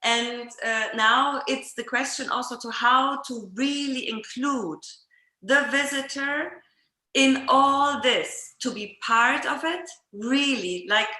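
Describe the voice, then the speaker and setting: Female discussion host, bright higher voice, halting delivery, roomy echo. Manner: hesitant, searching for words. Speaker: host of an art talk session. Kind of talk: unscripted discussion. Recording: echoey room.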